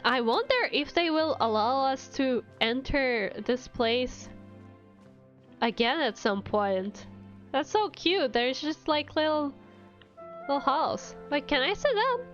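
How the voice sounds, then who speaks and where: A woman gives casual live commentary on a game in a fairly high voice. She talks slowly and playfully. The sound is a little dull, with faint music behind her. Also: expressive, a bit bright. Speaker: game streamer.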